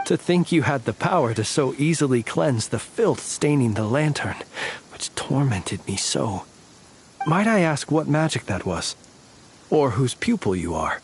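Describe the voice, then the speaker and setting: Courtly, world-weary warrior, formal speech. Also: male voice, medium pitch, sing-song, a little slow, slight background noise. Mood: humble, courteous. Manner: formal, archaic, eloquent phrasing. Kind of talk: video game voice acting, scripted dialogue.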